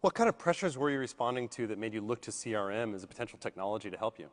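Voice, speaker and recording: A hoarse man moderating a conference panel, subdued and polite as he introduces guests and asks questions. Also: on the low side, lively intonation, very fast. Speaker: session moderator. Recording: long hall reverb.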